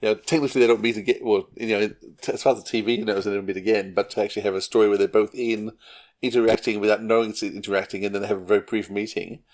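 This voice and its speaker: A man hosting a casual interview in a halting, conversational way. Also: fairly low pitch, steady tone, a little mellow. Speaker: interview host.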